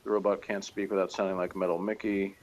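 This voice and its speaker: A man's voice: low voice, flat delivery, fast, a little mellow, slight background noise, low-quality recording. A man chatting casually and unscripted as he reviews a show, in a hesitant, rambling, mildly sardonic way. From outside Britain. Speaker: co-reviewer of a TV series.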